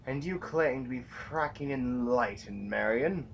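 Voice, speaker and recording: A man's voice: mid-range voice, measured pace, gravelly, recorded from a distance, faint music behind. A man playing a blunt, commanding, battle-hardened rebel general in a science fiction audio drama. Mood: heated, accusing. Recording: noisy amateur recording, off the mic.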